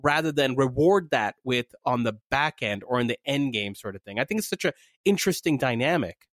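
A man co-hosting a political panel chat, talking in an animated way and pressing his co-hosts with repeated questions. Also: mid-range voice, brisk, no echo, studio quality. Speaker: co-host.

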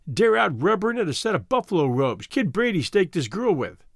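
A man's voice: high voice, very animated, fairly quick, creaky, dry recording, clean studio sound, voice pushed up, full character voice. A man voicing a pale young street informer in a storybook, with slangy, casual talk. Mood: knowing.

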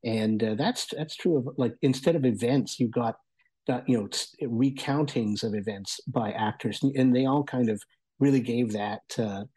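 Guy with a dark husky voice doing a laid back review, kinda halting and thoughtful. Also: mid-range voice. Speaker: guest reviewer. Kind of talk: casual spoken review.

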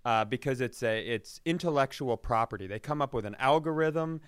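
A man's voice: mid-range voice, a little fast, clear, resonant tone, dry recording. A man hosting a comedy podcast, telling stories casually in a dry, self-deprecating way. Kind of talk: casual comic banter.

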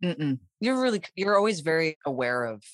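A woman in her forties chatting casually with a friend and co-worker, telling stories in an animated way. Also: low voice, moderate pace, no room sound, studio quality. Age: 40s. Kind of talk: casual chat.

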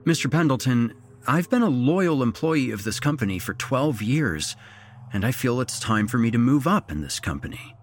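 A man's voice: medium pitch, animated, moderate pace, a little hiss or hum, pitched above the performer's own voice, lightly acted. A man voicing a frustrated underdog employee in a dramatized story, earnest and aggrieved. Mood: sheepish, earnest. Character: frustrated underdog male employee, pleading then exploding. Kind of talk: dramatized short story reading.

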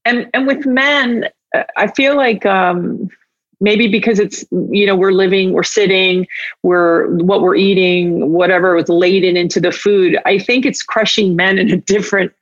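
A woman's voice: mid-range voice, steady tone, no echo. Lady hosting a podcast, chatty, stop-start sentences, dry and a bit dull sounding audio. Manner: informal, halting, self-interrupting, encouraging. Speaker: podcast host. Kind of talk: casual conversational interview.

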